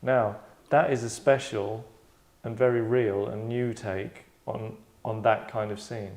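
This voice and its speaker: A man's voice: lowish voice, steady tone, unhurried, darkish tone. A man acting as host and director, reading stage directions and discussing the script informally, often repeating phrases for emphasis. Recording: echoey room.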